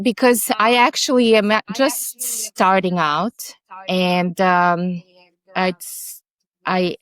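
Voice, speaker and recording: Guest, woman, 40s, slow and halting, smooth lowish voice, dry close sound. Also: a bit bright, dry recording. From Serbia. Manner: halting, searching for words with restarts. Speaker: podcast guest. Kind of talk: interview conversation.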